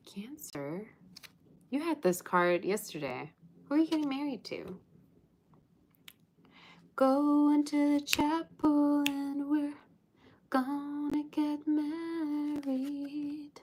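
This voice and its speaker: A woman's voice: high voice, expressive, slow pace, long pauses, a little mellow, a little hiss or hum. A woman giving a livestream tarot reading, chatty and teasing, reading out viewer comments. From Russia. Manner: chatty, teasing, sings snatches of old songs. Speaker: tarot reader.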